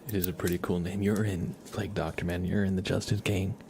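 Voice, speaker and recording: A man livestreaming ASMR, soft-spoken and playful, slipping between a murmur and a whisper as he chats and roleplays. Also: deep voice, flat delivery, a little fast, background noise. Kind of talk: ASMR live chat with roleplay, partly whispered. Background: scissor sounds.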